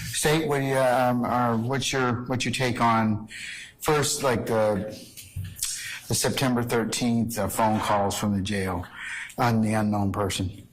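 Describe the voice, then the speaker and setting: A man speaking as a judge in a courtroom, questioning lawyers in an informal, probing, halting way. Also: mid-range voice, steady tone, slight background noise, poor audio.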